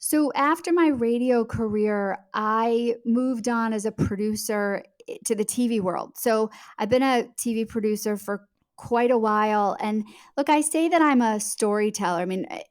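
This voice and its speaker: A woman's voice: on the high side, moderate pace. A woman speaking as an author and TV producer in a conversational interview, telling stories in a reflective, candid and emphatic way, often repeating words for stress. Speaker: author and TV producer, podcast guest. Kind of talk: conversational interview answers, storytelling.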